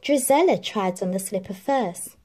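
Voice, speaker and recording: A woman reading a children's storybook aloud in an expressive, animated narrating style. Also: medium pitch, normal speed. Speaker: storybook reader.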